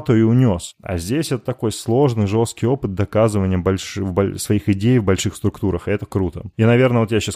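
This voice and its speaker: A man from Chelyabinsk, a podcast guest talking in a quick, chatty, joking way. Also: on the low side, warm tone, dry recording, studio quality. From Chelyabinsk, Russia. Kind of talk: casual interview chat.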